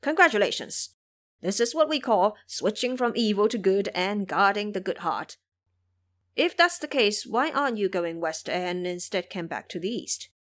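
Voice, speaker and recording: A woman's voice: medium pitch, expressive, moderate pace, lightly acted. A woman playing a courteous, hospitable male dragon king of the sea in an audio drama, formal and effusive. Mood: delighted.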